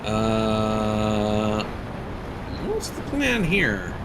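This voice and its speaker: A man's voice: lowish voice, huge pitch swings, extremely slow, heavy background noise. A man giving casual live gameplay commentary as a streamer, swearing freely. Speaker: video game streamer. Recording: loud game music behind. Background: loud video game music and sounds.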